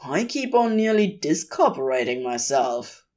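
A woman's voice: deep voice, big pitch swings, unhurried, a little mellow. A woman voicing a casual, roguish male demon with an offhand manner, in an audio story. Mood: offhand excuse.

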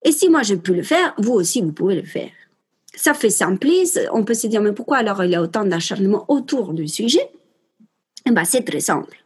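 Young woman, Italian accent, husky voice with a lively pitch, speaks emphatically and straight to her listeners. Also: fairly quick. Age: 20s. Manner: passionate and emphatic, addresses listeners directly. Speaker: mother sharing her home birth story. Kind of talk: casual spoken monologue.

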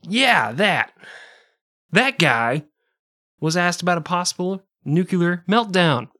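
A man's voice: high-pitched, lively intonation, takes it slowly, sharp tone. A man hosting a comedy history podcast, telling the story in a loose, profane, jokey way and laughing often. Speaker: podcast host.

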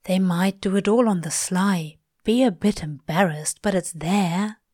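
A gruff but tender male demon from a storybook, acted by a woman narrator. He mutters slowly in a hushed, breathy murmur, with a cynical edge, close and dry. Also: lowish voice, no echo. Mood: tender, sorrowful. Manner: slow, muttering.

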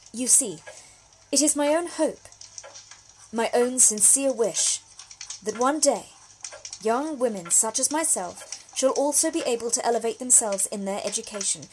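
A woman's voice: fairly high pitch, a little hiss or hum, lightly acted, poor audio. A woman playing a genteel, frank young Victorian letter writer in an audio drama monologue, speaking with formal, precise diction. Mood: earnest. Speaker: actor reading a solo audio drama. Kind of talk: period monologue, letters read aloud.

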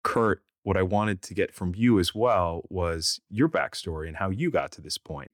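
A man's voice: low voice, animated, moderate pace. A man hosting an interview podcast, moving between scripted introduction and questions to guests. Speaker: podcast host.

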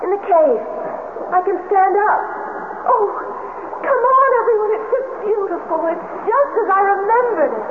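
An actress playing an excitable young woman in a 1970s radio drama, on a very noisy, tinny old broadcast. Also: extremely high pitch, normal speed. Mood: excited, awed. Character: excitable young woman revisiting her childhood cave haunt.

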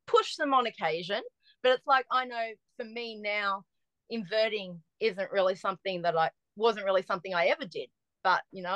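A woman, chatting as a podcast guest and telling lively stories with frequent restarts. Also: mid-range voice, dry recording, low-quality recording. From Australia. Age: 40s. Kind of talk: casual chat.

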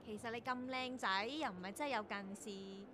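An actress playing a curious, excitable young female radio intern in a radio drama. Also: mid-range voice, moderate pace, crowd noise, natural voice. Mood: playful. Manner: excitable, teasing, exclamatory. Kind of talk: Cantonese radio drama acting.

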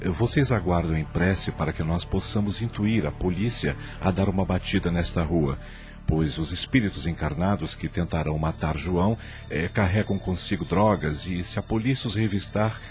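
Actor as a deep, commanding spirit guide. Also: male voice, moderate pace, darkish tone, soft background music, lightly acted. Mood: calm authority. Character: calm, commanding male spirit leading a rescue team. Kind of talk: radio soap opera acting.

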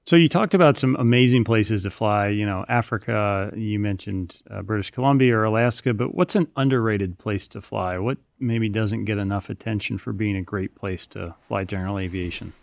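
A man's voice: on the low side, normal speed, creaky, few pauses, dry recording, studio quality. A podcast host putting long, curious, multi-part questions to his guest. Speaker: podcast host interviewing a pilot. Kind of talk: interview questions.

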